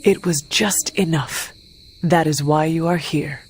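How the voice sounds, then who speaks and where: An actress playing a loyal, steadfast warrior, speaking in a calm, earnest and formal way for a video game cutscene. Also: female voice, deep voice, unhurried, a little hiss or hum. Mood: calm, supportive.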